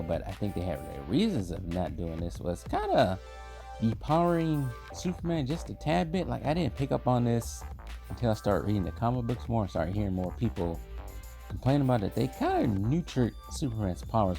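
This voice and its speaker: Male host, casual reviewer: low register with fry, informal conversational delivery, lots of pitch movement. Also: mellow. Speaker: host of an animation history video series. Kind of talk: casual opinionated review commentary. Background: background music.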